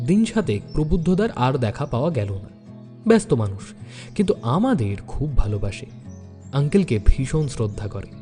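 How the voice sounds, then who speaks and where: A man narrating a detective audio story in the first person as an earnest university student, expressive and dramatic. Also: medium pitch, long reverb tail, natural voice.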